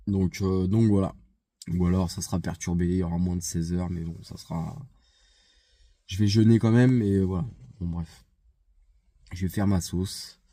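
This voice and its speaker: A man's voice: deep voice, mellow, no room sound. A man with a head cold, stuffy nose and sore throat, chatting casually to camera for a vlog, hesitant with many fillers and restarts. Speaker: vlogger. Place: outside a gym.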